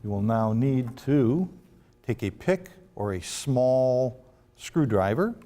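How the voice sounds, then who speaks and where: A man giving a step-by-step instructional demonstration in a deliberate, phrase-by-phrase way with firm instructions. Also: mid-range voice, animated, warm tone. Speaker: product demonstrator. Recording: long reverberant room echo.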